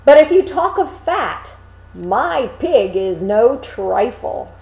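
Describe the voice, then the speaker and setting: Woman playing a naive young storybook man, excitable, exclamatory, old-fashioned wording, swooping pitch, roomy echo. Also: slow pace, creaky, exaggerated voice. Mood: boastful. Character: naive, excitable young man Hans, easily pleased and alarmed.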